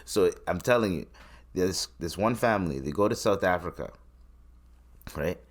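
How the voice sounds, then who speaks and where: A man's voice: deep voice, expressive, moderate pace. A man from Tanzania hosting a solo podcast, rambling and swearing between his points. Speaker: podcast host. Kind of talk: casual solo podcast commentary.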